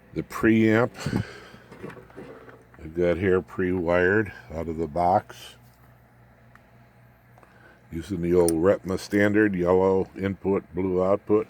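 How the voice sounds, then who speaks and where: Older male hobbyist, low-pitched and unhurried, folksy delivery with dry asides, informal bench walkthrough. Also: darkish tone, slight background noise. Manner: folksy, halting, wry asides. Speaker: electronics hobbyist presenter. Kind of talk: casual unscripted build walkthrough.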